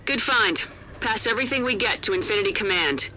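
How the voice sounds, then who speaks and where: A woman's voice: medium pitch, animated, fairly quick, noisy background, lightly acted. An actress playing a tough female commander who gives curt, confident orders. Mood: commanding. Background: loud game music.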